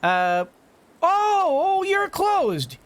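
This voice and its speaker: Unhurried, chatty woman game player. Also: very high voice, very animated. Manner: rambling, self-talking, playfully exclaiming. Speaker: let's-play host. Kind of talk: casual gameplay commentary.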